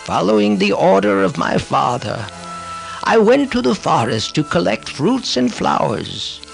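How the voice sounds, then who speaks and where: A man playing a remorseful fallen priest of ancient India in a solemn, theatrical audiobook monologue with a gravelly voice. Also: sits high, sing-song, measured pace, faint music behind, pitched above the performer's own voice, exaggerated voice, low-quality recording.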